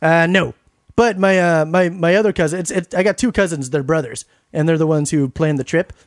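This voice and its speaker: A man's voice: on the high side, a little fast, no echo, studio quality. A man in his thirties co-hosting a comedy podcast, easygoing and laughing as he rambles through a story. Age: 30s. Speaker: podcast co-host. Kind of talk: casual comedy podcast chat.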